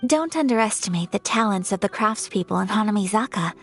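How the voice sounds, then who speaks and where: A woman voicing a composed young noblewoman in a video game, speaking in a formal, measured and polite manner. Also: medium pitch, expressive, faint music behind, natural voice. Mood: calm formal. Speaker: noble of a ceremonial commission.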